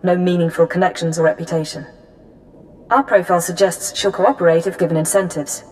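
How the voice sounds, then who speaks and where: An actress playing a cool, businesslike female intelligence handler in a video game, giving briefings with crisp control. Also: lowish voice, fairly even intonation, brisk, a little mellow, slight background noise, lightly acted, rough recording. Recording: music under the voice.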